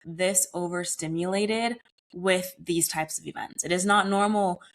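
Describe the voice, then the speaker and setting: A young adult woman hosting her wellness podcast alone, talking in an earnest, conversational way, her voice a bit raspy from a recent cold. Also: on the low side, fairly even intonation, measured pace, low-quality recording. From United States. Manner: earnest, conversational, uses casual "y'all" and "like". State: slightly hoarse from a cold. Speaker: podcast host. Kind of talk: solo wellness podcast monologue.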